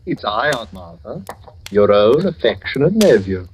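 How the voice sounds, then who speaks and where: A noisy old radio recording of a greedy young villain. His silky, mock-tender menace swoops widely in pitch, coldly murderous underneath. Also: big pitch swings, a little slow, a little mellow. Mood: mock-affectionate, sinister. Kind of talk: old-time radio drama acting.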